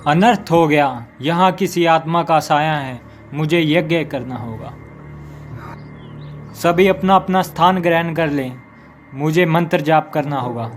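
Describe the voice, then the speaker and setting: Man acting as slow, commanding horror-story figure. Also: fairly high pitch, lightly acted. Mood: solemn, commanding. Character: exorcist priest chanting mantras, and scheming gang boss. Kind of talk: dramatized Hindi kids' horror story. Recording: music behind the voice. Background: music.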